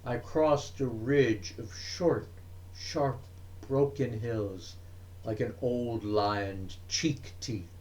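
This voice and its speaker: A man reading verse aloud dramatically, with measured, emphatic and theatrical phrasing. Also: mid-range voice, steady tone, a little mellow, poor audio. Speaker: poetry reader. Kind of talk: expressive dramatic poetry reading.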